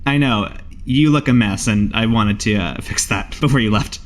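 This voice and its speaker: A man's voice: lowish voice, moderate pace, close to an everyday voice. A man playing a generous, apologetic brother who teases casually and dryly in a tabletop game. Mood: casual, teasing. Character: Felix, generous, apologetic, wryly teasing brother.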